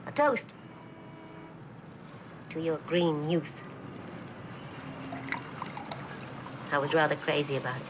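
A woman's voice: low voice, fairly even intonation, moderate pace, highs cut off, close to an everyday voice. An American actress playing a poised, needling wife in her thirties in a 1960s television drama. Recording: noisy old TV film soundtrack.

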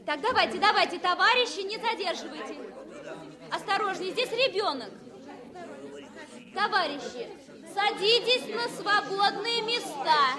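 An actress playing a brisk, courteous flight attendant officially urging passengers along in a 1970s radio play. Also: female voice, very high voice, expressive, a crowd in the background.

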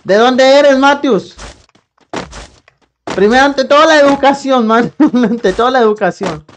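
A man's voice: very high-pitched, unhurried, noisy background. A young Colombian man streaming a game live on a headset mic, greeting viewers by name and calling them brother. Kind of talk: live gameplay stream chat. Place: small room at home.

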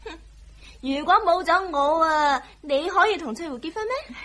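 An actress playing a sassy, teasing younger sister from a wealthy family in a Hong Kong Cantonese radio drama. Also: female voice, very high voice, animated, moderate pace, sharp tone, exaggerated voice. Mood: cheeky, grumbling. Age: young adult. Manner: teasing, exaggerated.

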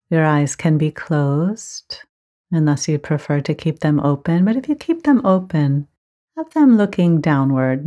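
Slow, low-voiced woman guiding meditation. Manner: gentle, measured instruction with frequent pauses. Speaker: meditation teacher. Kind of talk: guided meditation.

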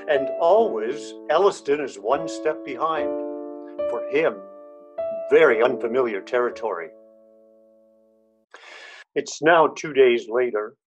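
A man narrating a scripted radio mystery with deliberate, dramatic pauses. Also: mid-range voice. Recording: dull lo-fi sound. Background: piano music.

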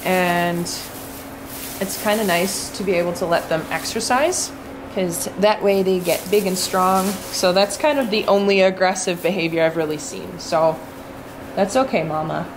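A young woman vlogging casually from inside a large goat barn, filming herself at arm's length and explaining her animals in a relaxed, chatty way. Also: fairly low pitch, normal speed, background noise. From Canada. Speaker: farm vlogger. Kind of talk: casual vlog talk to camera. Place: indoors in a large goat barn with straw pens. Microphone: handheld camera at arm's length.

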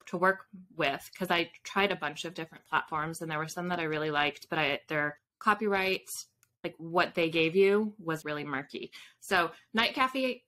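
A woman's voice: sits low, pushed and bright. A woman in her thirties chatting casually as an interview guest, explaining things in a rambling way with asides. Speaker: guest author. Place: home room with bookshelves, indoors. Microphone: video call.

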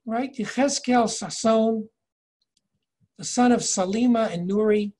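An older man in his sixties leading a virtual tour lecture from home over a video call, measured and explanatory, reading inscriptions slowly. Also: high voice, a little mellow. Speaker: tour guide and educator. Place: home office, indoors. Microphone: video call webcam.

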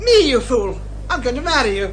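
Brisk, high-voiced British lady sleuth. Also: very animated, a little hiss or hum. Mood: brisk, satisfied. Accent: British English. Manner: brisk, theatrical, old-fashioned turns of phrase. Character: brisk, bossy, sharp-witted amateur woman detective. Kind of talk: 1980s British radio comedy drama acting.

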